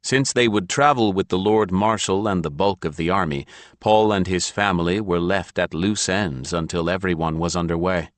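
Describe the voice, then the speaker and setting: Male narrator, low pitch, scripted fiction reading, close dry recording. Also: normal speed, dry recording. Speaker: audiobook narrator.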